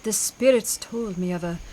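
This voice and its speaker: A woman's voice: medium pitch, natural voice. A woman playing a haughty, mysterious spirit medium in a radio play, eerie and theatrical. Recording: faint noisy night ambience.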